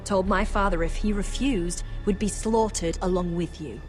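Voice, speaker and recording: A woman's voice: mid-range voice, moderate pace, over music, natural voice, rough recording. A young woman acting as a determined, anguished noblewoman in a dramatic fantasy video game, with earnest intensity. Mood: indignant, resolute.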